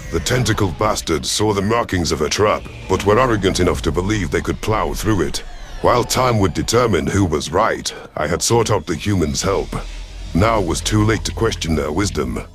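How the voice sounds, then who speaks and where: A man voicing a gruff, proud alien chief hunter who commands a fleet, growling and impatient, in a first-person sci-fi audio drama. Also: expressive, moderate pace. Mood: wary, brooding. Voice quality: low, growling.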